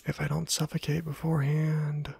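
A man giving soft-spoken ASMR commentary over a video game, husky and often near a whisper, in a rambling, self-deprecating way. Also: fairly high pitch, completely flat delivery, moderate pace, dry recording. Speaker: host of a gameplay video channel.

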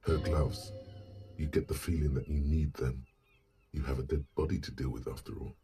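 A male actor voicing a detective's knowing, observant inner voice, narrating a video game in a measured way. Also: a bass voice, level tone. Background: background music.

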